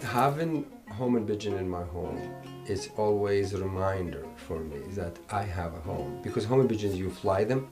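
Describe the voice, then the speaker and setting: Low-voiced man over music. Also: animated. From Iraq. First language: Arabic. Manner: halting, repeats words, non-native grammar. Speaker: musician interviewee. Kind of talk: reflective personal interview. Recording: music playing underneath.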